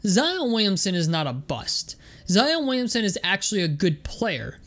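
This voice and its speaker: A young man hosting a casual basketball vlog, his voice a little rough and strained. He rambles through his points with plenty of "um"s and stuttered restarts. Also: sits high, big pitch swings, normal speed, a bit bright. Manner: rambling, repeats words, many fillers. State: voice rough from medication. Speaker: sports vlogger.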